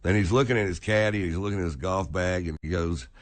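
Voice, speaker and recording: A man's voice: low-pitched, fast talker, no echo. A man in casual comic conversation, telling anecdotes in a rambling way.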